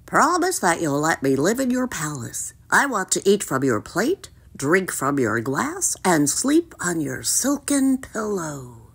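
A woman voicing a talking male frog in a storybook, croaky, courtly, eager to help and insistent. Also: fairly low pitch, big pitch swings, measured pace, hardly any pauses, heavily acted. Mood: courtly, bargaining.

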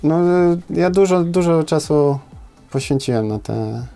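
A man's voice: fairly high pitch, animated, a little slow, gravelly, warm tone, soft background music. A man in his thirties, a footballer guest, answering casually and hesitantly, with many fillers and tag questions, in a small studio. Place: small studio with brick wall.

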